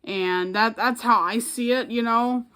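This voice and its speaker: A woman's voice: on the high side, unhurried, pushed and bright. An American woman who works as an announcer chats casually to camera in an informal, rambling, self-effacing way. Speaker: public address announcer and vlogger.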